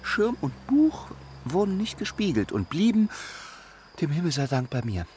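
An actor playing a tiny, polite alien gentleman in a children's radio play, formal, precise and very earnest. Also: fairly high pitch, very animated, normal speed, slight background noise. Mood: solemn.

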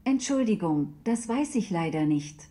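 Female actor as a smart-home assistant: mellow tone, neutral, measured, emotionless, precise radio-play answers. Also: medium pitch, lively intonation, natural voice. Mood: neutral, polite.

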